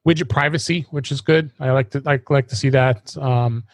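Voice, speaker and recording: A man hosting a casual tech podcast, halting and often restarting his phrases. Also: medium pitch, steady tone, no room sound. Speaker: podcast host.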